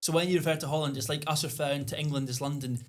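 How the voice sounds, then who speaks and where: Man, 20s, Scottish accent, podcast co-host, casual, earnest, slightly high. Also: fairly even intonation, rapid-fire. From Scotland. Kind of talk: casual chat.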